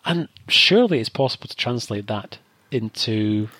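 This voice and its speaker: A man's voice: lowish voice, lively intonation, moderate pace, pushed and bright, dry recording. A man co-hosting a casual chat show, talking in long thoughtful asides. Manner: discursive, thoughtful, many asides. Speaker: podcast co-host.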